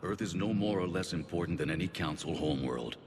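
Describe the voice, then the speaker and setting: A man playing a grave, weary alien councilor in a video game, measured, formal and authoritative. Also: low-pitched, lightly acted. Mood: grave, doubting. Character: grave alien male councilor, weary but pragmatic statesman. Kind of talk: dramatic video game acting. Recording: echoing hall, clear background noise.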